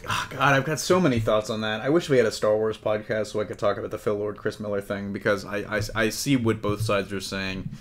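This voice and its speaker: Male podcast host, lowish pitch, casual and jokey panel chat, unafraid to swear. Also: fairly even intonation, fairly quick, few pauses. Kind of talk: casual comic-book movie panel chat.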